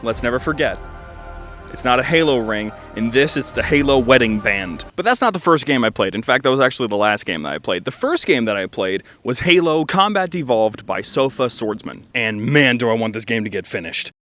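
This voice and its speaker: Higher-pitched man, rambling game reviewer. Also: expressive, fairly quick, fairly bright tone, soft background music. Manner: rambling, self-interrupting asides. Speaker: video creator reviewing fan-made games. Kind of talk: casual commentary, half-scripted video review.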